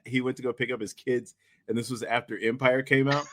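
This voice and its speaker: A man talks casually on a podcast in a medium-pitched voice. He builds each point haltingly, repeating phrases to stress them. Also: flat delivery.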